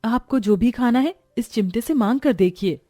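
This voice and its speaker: A woman playing a kind, dutiful young mother and daughter-in-law in a cartoon story, gentle and respectful. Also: on the high side, normal speed, no room sound, studio quality, natural voice. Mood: reassuring. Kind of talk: animated cartoon story acting.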